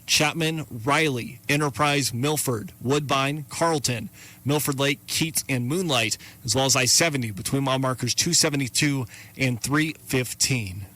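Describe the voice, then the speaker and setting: A man with a bright, forceful voice of middling pitch hosts live radio storm coverage. He delivers warnings, lists of places and safety instructions in a matter-of-fact but urgent manner. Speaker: radio weather coverage host. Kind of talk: live severe weather warning broadcast.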